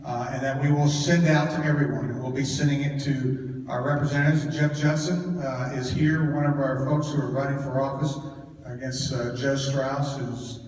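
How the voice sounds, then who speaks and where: Brisk male moderator in an echoey hall. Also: medium pitch, fairly even intonation, a little mellow, a little hiss or hum. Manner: brisk, organizing, moves the event along. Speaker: forum moderator. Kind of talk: moderating a Q&A and closing remarks. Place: church hall. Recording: echoey hall from far off with faint crowd.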